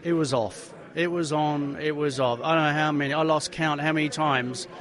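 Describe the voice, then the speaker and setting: A man's voice: a little high, steady tone, moderate pace, a bit bright. Male driver, chatty anecdotal interview guest, loose and rambling delivery. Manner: rambling anecdotes with many restarts. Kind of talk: casual storytelling interview.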